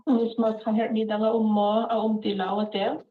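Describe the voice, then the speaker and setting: A woman working as a court interpreter over a phone line, speaking with hesitant pauses and fillers. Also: fairly high pitch, little pitch movement. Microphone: phone call. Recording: tinny echoey phone line.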